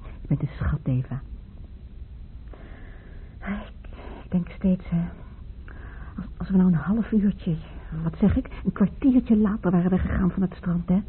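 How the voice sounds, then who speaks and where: An actress playing a wistful, fragile young woman in grief, reminiscing haltingly in a Dutch radio play. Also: lowish voice, slight background noise, close to an everyday voice. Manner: halting, reminiscing, repeats herself. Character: grieving young woman, Maria, wistful, fragile, tearful, in love. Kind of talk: Dutch radio play acting, intimate monologue. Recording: muffled, phone-like old radio recording.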